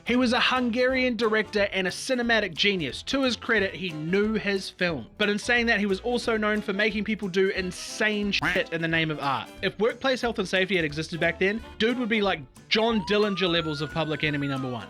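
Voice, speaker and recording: A man's voice: high-pitched, bright, forceful tone. A man giving a sarcastic, profane comedic history commentary as a video host.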